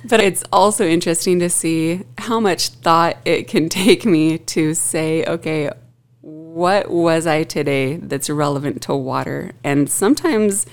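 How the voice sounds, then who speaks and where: Low-pitched woman chatting thoughtfully, laughs a lot, easygoing. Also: a little slow, few pauses. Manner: reflective, laughs often. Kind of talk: casual chat.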